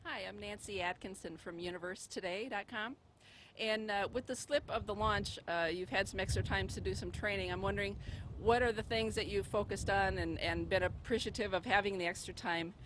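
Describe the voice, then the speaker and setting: A woman reporter asking a measured question into a stand mic outdoors. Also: medium pitch. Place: outdoors at a press area. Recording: outdoor noise behind voice.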